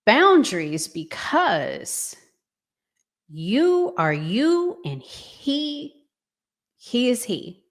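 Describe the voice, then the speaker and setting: A middle-aged woman giving casual, unscripted commentary as a vlog host, thinking aloud in a halting, conversational way. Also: fairly high pitch, big pitch swings.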